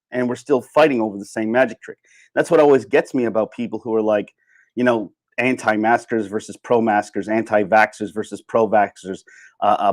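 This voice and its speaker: A man with a medium-pitched voice delivers an unscripted livestream monologue. He speaks emphatically, circling back over phrases and restarting sentences as he builds his argument. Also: darkish tone. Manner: repetitive, halting, restarting sentences; emphatic, rhetorical questions. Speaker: livestream host. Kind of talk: impromptu opinion monologue.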